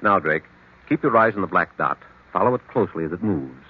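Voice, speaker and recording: An actor playing a calm, probing doctor with an authoritative manner of questioning, in old-time radio mystery style. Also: male voice, sits low, a little fast, husky. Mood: brisk, probing. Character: calm, probing male doctor examining a patient. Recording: dull, noisy vintage radio recording.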